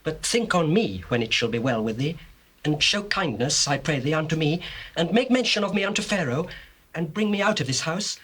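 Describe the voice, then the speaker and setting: Devout young servant, high-pitched, slightly husky, earnest, measured, biblical drama. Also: male voice, lively intonation, fairly bright tone, poor audio. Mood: solemn, pleading. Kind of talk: dramatized scripture acting.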